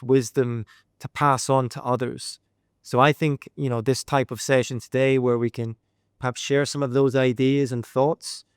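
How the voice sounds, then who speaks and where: A middle-aged Scottish man talks as a leadership coach, reflective and steady. His voice sits at a middling pitch. The sound is dry and close, with no room around it. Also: creaky, clear, resonant tone, no echo. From Scotland. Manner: reflective, steady, uses sayings and examples. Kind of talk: measured podcast interview answers. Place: Dubai.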